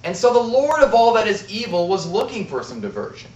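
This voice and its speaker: A man's voice: sits high, sing-song, darkish tone. Theatrical male storyteller, distant roomy sound. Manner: dramatic, deliberate delivery with emphatic pauses. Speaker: amateur storyteller in a speech contest. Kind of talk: theatrical tall-tale storytelling to an audience. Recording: roomy, distant, muffled club-room recording.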